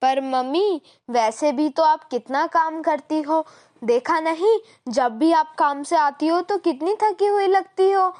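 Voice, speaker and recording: A woman voicing an eager, caring young boy in a moral story, with childlike, drawn-out pleading. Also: sits high, darkish tone. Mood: concerned, earnest. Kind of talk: Hindi moral story voice acting.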